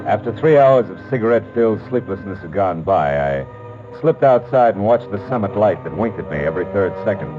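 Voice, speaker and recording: Male actor as hard-boiled private eye, lowish American voice, clipped and wry, dull vintage radio sound, prominent music. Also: lively intonation, a little fast, natural voice. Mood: wry, tense narration. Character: tough, wisecracking male private detective. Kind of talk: 1940s radio noir narration and acting.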